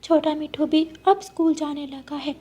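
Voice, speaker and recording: Woman as cartoon mother bird, high lifted voice, cheerful, sociable. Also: flat delivery, normal speed, dark tone, close to an everyday voice. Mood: delighted. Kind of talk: children's cartoon story acting.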